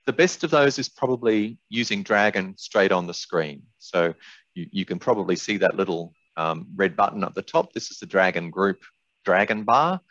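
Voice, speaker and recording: An older man with a low voice gives a webinar talk. He explains things in measured steps, like a product expert teaching. The sound is close and dry, a little muffled, as on a video call. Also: lively intonation, fairly bright tone. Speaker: presenter, software expert. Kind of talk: webinar presentation with product demonstration. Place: indoors, small room on a video call. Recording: dull, roomy webinar audio.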